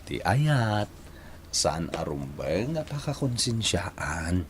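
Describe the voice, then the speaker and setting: An excitable young Ilocano man in a radio drama. He talks slowly and haltingly, breaking into exclamations, with very lively pitch. Clear noise sits on the recording. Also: heavily acted. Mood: tender. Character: excitable young man shocked by his lover's confession. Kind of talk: Filipino radio drama acting.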